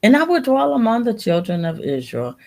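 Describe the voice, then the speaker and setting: A woman reading scripture aloud in a deliberate, halting way, sometimes repeating a word as she goes. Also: a little high, big pitch swings, creaky, a little mellow. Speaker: Bible reader.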